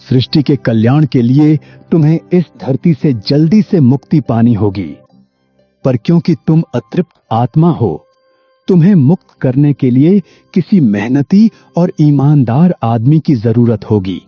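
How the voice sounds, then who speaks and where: Cartoon story hermit, male actor: wise, benevolent, rough-edged voice, medium pitch, music bed. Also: expressive, unhurried. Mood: solemn. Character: wise holy hermit sadhu, solemn, kindly blessing. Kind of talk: animated Hindi moral story acting. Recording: dull lo-fi audio with music behind.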